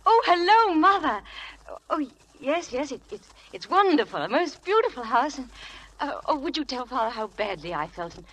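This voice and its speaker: An earnest young newlywed wife in a vintage radio play. Her voice is high and swoops expressively, with anxious, tender hesitations and stammers. It comes through as an old broadcast recording. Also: sing-song, moderate pace, fairly bright tone, low-quality recording. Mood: delighted, moved. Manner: breathy hesitations, stammering when upset. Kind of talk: 1940s radio drama acting.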